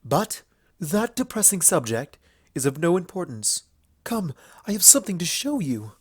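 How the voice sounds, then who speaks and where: A man's voice: fairly high pitch, sing-song, normal speed, breathy edge, no echo, studio quality, pitched above the performer's own voice. A man voicing a playful, imperious female alicorn pony princess in a story reading, with formal, grandiose speech. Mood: brightly eager. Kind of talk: fan fiction character reading.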